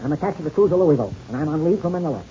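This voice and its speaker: A man's voice: on the high side, expressive, racing, mellow, lightly acted. An actor playing a cornered male crook, pleading and protesting in 1930s radio style. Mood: indignant, blustering. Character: cornered male crook in disguise, frightened then blustering. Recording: muffled, noisy old radio serial recording.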